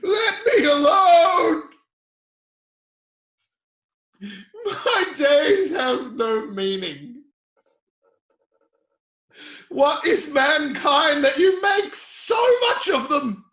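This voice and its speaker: Casting: actor for anguished, impassioned biblical sufferer, slow and halting delivery, dramatic reading in an echoing room. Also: lively intonation, full character voice. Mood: anguished protest. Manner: impassioned, halting, swinging from low murmurs to anguished shouts. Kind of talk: epic dramatic Bible reading. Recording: roomy, echoing space.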